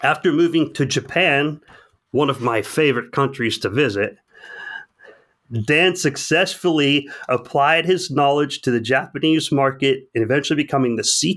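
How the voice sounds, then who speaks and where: A man's voice: medium pitch, fairly bright tone. A man hosting a podcast, reading out a guest introduction and then chatting in a loose, casual way. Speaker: podcast host.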